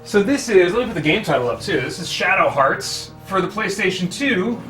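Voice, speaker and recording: A man with a slightly high voice gives easygoing live game commentary. He rambles and hesitates as he thinks aloud, with game music clearly behind him. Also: animated, brisk, low-quality recording. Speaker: game livestream host.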